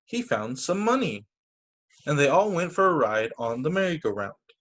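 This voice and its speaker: A man reading picture books aloud to children as a teacher, expressive, with brief casual asides. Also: fairly high pitch, moderate pace. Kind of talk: animated children's story reading. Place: remote video story time.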